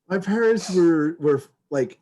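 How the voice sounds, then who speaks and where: A man's voice: fairly high pitch, noisy background. A middle-aged man co-hosting a comedic podcast, casual and sweary, repeating words as he feels his way through sentences. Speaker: podcast co-host.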